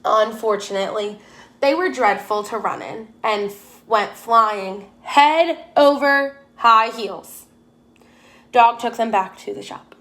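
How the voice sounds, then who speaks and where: A woman's voice: on the high side, slow pace, a bit bright. A woman teacher reading a children's storybook aloud expressively, putting questions to her young listeners.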